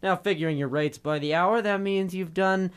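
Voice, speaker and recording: Man acting a breezy, high-voiced detective's legman. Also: moderate pace, clean tone, natural voice. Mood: breezy, flirting. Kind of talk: old-time radio drama acting.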